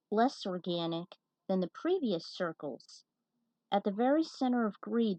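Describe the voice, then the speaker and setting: A woman's voice: mid-range voice, a little mellow, dry recording, lo-fi sound. A woman hosting and reading a fan fiction story aloud in an informal, amateur style with casual asides. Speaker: host and reader of a fan fiction series.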